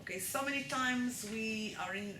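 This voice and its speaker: A woman's voice: on the high side, normal speed, noisy background. Israeli woman lecturing in an echoey room. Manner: checks in with the audience often. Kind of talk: live lecture to an audience. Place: lecture hall with live audience.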